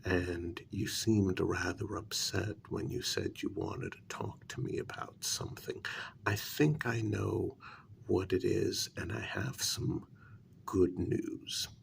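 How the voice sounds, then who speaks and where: Man playing a gravelly, soft-spoken scheming vampire. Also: low voice, expressive, slight background noise, heavily acted. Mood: soft, reassuring. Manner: measured, hushed, deliberate with frequent pauses. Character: calm scheming male vampire clan member, deferential, coolly calculating. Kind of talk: soft-spoken ASMR role-play.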